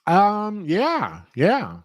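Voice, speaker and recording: A man's voice: sits high, wildly swooping pitch, extremely slow, dry recording. A man co-hosting a talk show in casual chat, joking and teasing, laughing often, on a close studio mic. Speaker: co-host.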